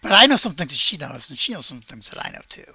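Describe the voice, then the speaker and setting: A woman voicing an eager, gossipy teenage village girl in a tabletop roleplay, halting and boastful about what she knows. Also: low-pitched, sing-song, sharp tone, breathy edge, muffled recording, full character voice.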